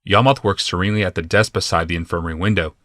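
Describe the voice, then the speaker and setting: Low, forceful male audiobook narrator. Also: fairly even intonation, rapid-fire, no room sound, clean studio sound. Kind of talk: scripted dramatic narration of fantasy fiction.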